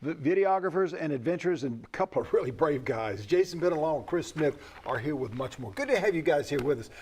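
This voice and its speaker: A man hosting a local television interview as the interviewer, with a husky voice. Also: a little high, animated, fast, darkish tone, slight background noise.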